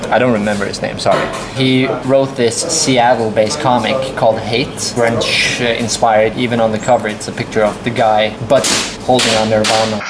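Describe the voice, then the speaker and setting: A man with a Norwegian accent hosts a casual vlog in a joking, sweary way. His voice sits at an average male pitch, and a loud crowd chatters all around him in the café. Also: poor audio. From Norway. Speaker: vlog host. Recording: busy café chatter behind the voice.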